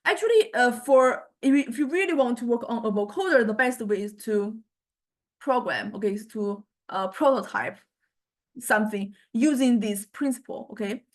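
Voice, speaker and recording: A woman with a Chinese accent giving an informal software tutorial as a lecturer, speaking haltingly with repeated words and frequent checking tags like okay and right. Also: fairly high pitch. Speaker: university lecturer in music technology. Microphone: small condenser microphone.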